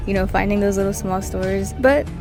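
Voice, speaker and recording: A young woman from Puerto Rico talks to the camera about her own life in a loose, chatty way. She fills her sentences with "like" and "kinda," and her voice sits at a middle pitch. Music plays clearly underneath her. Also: moderate pace. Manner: chatty, frequent "like" and "kinda" fillers. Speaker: vlogger. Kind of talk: casual personal storytelling to camera. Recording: music playing in the background.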